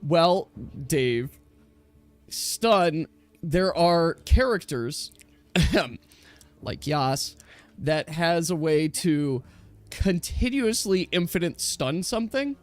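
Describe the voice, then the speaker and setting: A man running a tabletop game, explaining rules casually in a chatty, halting way. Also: on the high side, animated. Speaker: game master.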